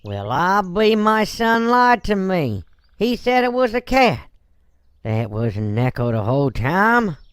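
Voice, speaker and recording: A man plays a businessman and dad in a Minecraft roleplay. The voice is high and swoops freely, clean and close. He comes across folksy and blunt, with courteous, hesitant turns. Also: big pitch swings, a little slow, fairly bright tone, clear, resonant tone, dry recording, full character voice. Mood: indignant, ashamed. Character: folksy male Texan businessman, ashamed father, blunt but courteous.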